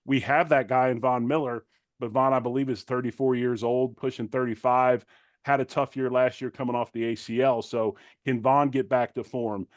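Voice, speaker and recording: A man's voice: medium pitch, steady tone, normal speed, no echo. Plain-spoken man talking football, dry sound. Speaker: guest, former football player turned sports commentator. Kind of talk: conversational sports analysis.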